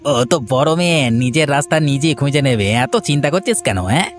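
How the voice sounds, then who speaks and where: A man's voice: on the high side, fast, soft background music, close to an everyday voice. A man playing a scheming middle-aged village uncle in a cartoon, glib and dismissive in his manner. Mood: sly, dismissive. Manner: glib, brushing off worries.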